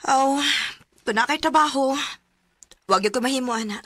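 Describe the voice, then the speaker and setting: A young Cebuano wife in a Filipino radio drama, with a fairly high voice. She is affectionate and playful, speaking in an intimate dramatic style. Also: moderate pace, fairly bright tone, dry recording, clean studio sound. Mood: warm, wistful.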